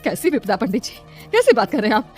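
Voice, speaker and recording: A woman's voice: a little high, very animated, fast talker, faint music behind. A woman playing an anxious but brave village aunt in a horror cartoon, urgent and pleading. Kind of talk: Hindi horror cartoon voice acting.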